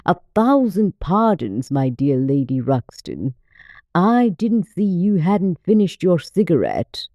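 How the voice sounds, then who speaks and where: A woman puts on a sociable old English lady for an audiobook. She gushes slowly in a mellow voice, with a very lively melody. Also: fairly low pitch, no echo, studio quality, full character voice. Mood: amused, apologetic. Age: older. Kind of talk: audiobook character voice.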